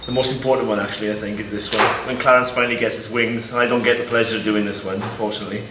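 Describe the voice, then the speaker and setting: A man giving an informal, explanatory demonstration as a Foley artist, pausing as he shows each item. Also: lowish voice, little pitch movement. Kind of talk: informal demonstration of sound-effect props. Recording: echoey, distant mic, loud noise and clicks.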